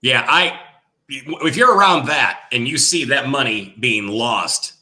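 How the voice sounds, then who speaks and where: A man's voice: medium pitch, animated, takes it slowly, pushed and bright. A man hosting a casual interview, joking at his own expense and asking questions. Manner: jokey, self-deprecating, asks questions. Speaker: podcast host.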